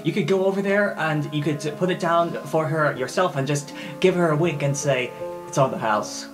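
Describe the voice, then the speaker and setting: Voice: mid-range voice, moderate pace, over music, close to an everyday voice. Someone voicing an overexcited shapeshifting waiter. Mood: excited, eager. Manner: gushing, excitable. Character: Koss, eager excitable shapeshifter posing as a waiter.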